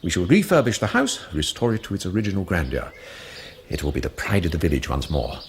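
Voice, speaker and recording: An actor playing a pompous, middle-aged Victorian gentleman father in a radio drama, formal and authoritative with an English accent. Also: sits low, very animated, fast talker, slightly rough, heavily acted. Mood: proud. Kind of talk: 1990s radio drama acting.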